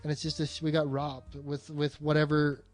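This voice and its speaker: A man with a fairly high voice co-hosts a loose, slangy film chat. His sound is dry, with faint music behind it. He swears often and backs points up with enthusiasm. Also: monotone, normal speed, dry recording. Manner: slangy, profane, agreeing enthusiastically. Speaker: podcast co-host.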